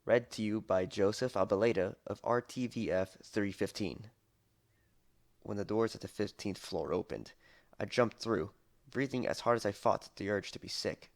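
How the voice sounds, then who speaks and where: A man's voice: lowish voice, normal speed. A young man reading a story as dramatic scripted narration, recorded on an amateur headset mic with some noise. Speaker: audiobook narrator.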